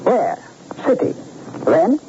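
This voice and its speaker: A man's voice: high-pitched, wildly swooping pitch, slow pace, warm tone, full character voice. An actor playing a smug, scheming male crook in a 1940s radio drama, talking down to his partner in a gloating, condescending way. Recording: hissy dull old radio broadcast.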